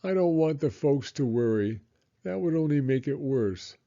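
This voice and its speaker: A man's voice: fairly high pitch, animated, mellow, lo-fi sound, voice pushed up. A man voicing a hurt, glum young boy hero from a storybook in a plaintive way. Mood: sad. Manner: plaintive, drawn-out.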